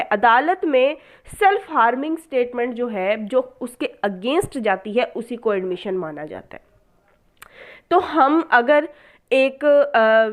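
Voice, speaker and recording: A woman with a Pakistani accent giving an explanatory lecture, mixing in English terms, in a didactic way with questions she answers herself. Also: high-pitched. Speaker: law lecturer.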